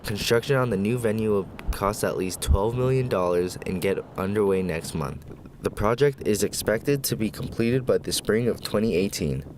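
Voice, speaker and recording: A young man narrating scripted student news reports as the reporter, in an even, measured delivery. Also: on the low side, noisy background. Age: young adult.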